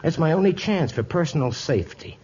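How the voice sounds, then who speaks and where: Husky, steadfast missionary reverend. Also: male voice, a little high, a little fast. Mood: earnest, determined. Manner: calm, earnest. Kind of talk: 1950s radio acting. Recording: muffled old radio recording.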